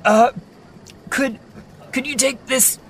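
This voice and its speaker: A man's voice: very high-pitched, fairly even intonation, slow, a bit bright, a little hiss or hum, heavily acted. A man playing a brave, polite, earnest young reporter hero in an audio drama.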